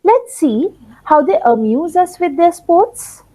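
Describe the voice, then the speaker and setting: A woman's voice: extremely high pitch, big pitch swings, slow, warm tone, rough recording. A woman narrator telling the story of a play in a dramatic, lingering theatrical style. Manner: dramatic, lingering, with repeated words for effect.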